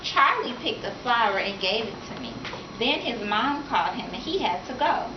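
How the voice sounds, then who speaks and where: A woman voicing a cheerful, outspoken, warm-hearted young girl, chatty and childlike, in a storybook read-aloud. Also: a little high, animated, moderate pace, lightly acted. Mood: happy, tender. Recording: echoey room from far, noisy, dull.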